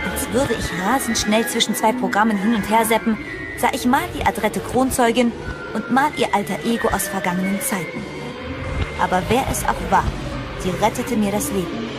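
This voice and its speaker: A woman's voice: mid-range voice, lightly acted. An actress playing a shaken heroine, narrating her peril in the first person in a scripted, dramatic style. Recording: clear music bed behind narration.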